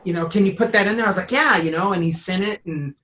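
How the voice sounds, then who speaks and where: Bright-voiced, higher-pitched man, rambling enthusiastically. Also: fast talker, slight background noise. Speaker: sound designer and composer; teacher. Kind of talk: casual interview talk.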